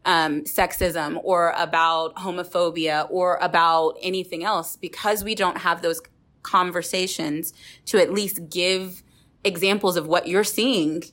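Lower-pitched woman in conversational podcast discussion. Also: a bit bright. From Texas. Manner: reflective, rephrases and self-corrects as she goes. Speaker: podcast host.

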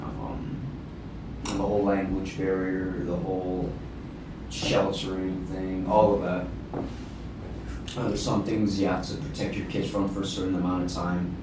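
A young man taking part in a reflective group conversation, speaking in a measured, plainspoken way. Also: low-pitched, steady tone. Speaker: podcast guest. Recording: very echoey, distant, noisy room.

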